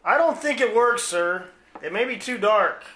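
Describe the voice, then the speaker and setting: A man's voice: high-pitched, expressive, moderate pace, bright, forceful tone. A man playing a naive, plain-spoken, skeptical henchman in a parody radio play. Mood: doubtful. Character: hapless practical-minded male henchman to a villain. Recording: roomy, noisy home recording.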